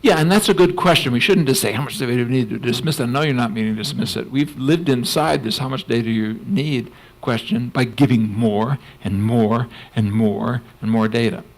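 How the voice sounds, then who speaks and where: An older man, a clinical psychologist speaking on a conference panel, animated and laughing, putting on voices for emphasis. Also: medium pitch, brisk, hardly any pauses.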